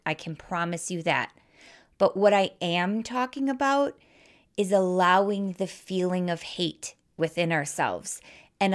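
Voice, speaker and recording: A woman with a Midwestern American accent hosting a podcast monologue, speaking candidly and informally with emphatic, persuasive delivery. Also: fairly low pitch. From Wisconsin, American Midwest. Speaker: life coach, podcast host.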